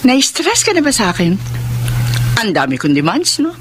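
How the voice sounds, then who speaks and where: A woman's voice: on the high side, wildly swooping pitch, normal speed, background noise. An actress with a Filipino accent playing a stern, claustrophobic woman boss in a radio drama, theatrically mixing Tagalog and English. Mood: apologetic.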